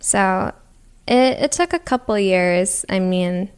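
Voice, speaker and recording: Young woman, casual interview guest, chatty, fillers, easy laughs, medium pitch. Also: expressive, slow, clean tone. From Massachusetts, USA. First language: Japanese. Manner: chatty, heavy use of "like", laughs easily.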